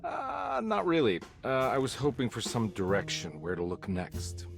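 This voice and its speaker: An actor playing a weary, stubborn private detective in a noir video game, talking in a dry, casual way. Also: male voice, medium pitch, big pitch swings, moderate pace, vocal fry, faint music behind.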